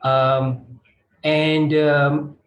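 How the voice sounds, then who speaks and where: A man speaking as a panelist, laying out points in a measured, methodical way. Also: on the high side, flat delivery, reverberant, highs cut off. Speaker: panelist, doctor. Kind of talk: explanatory panel talk.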